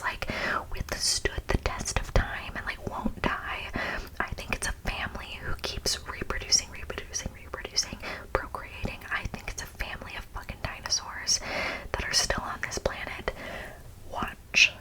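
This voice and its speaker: A woman's voice: moderate pace, low-quality recording. Young woman whispering casual ASMR. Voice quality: breathy unvoiced whisper. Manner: informal, mock-serious. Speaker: ASMR video host.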